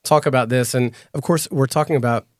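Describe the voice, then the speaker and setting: Guy hosting a podcast, clear and measured, middle pitch, super dry close sound. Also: no echo. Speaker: podcast host. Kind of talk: scripted podcast narration and interview.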